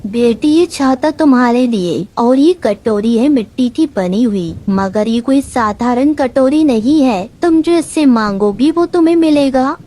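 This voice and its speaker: Lady doing a motherly old bird in a cartoon, kind, high but soft mellow voice. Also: expressive, measured pace, few pauses. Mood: kindly. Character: kindly, motherly old female bulbul bird. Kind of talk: Hindi animated moral-story dialogue.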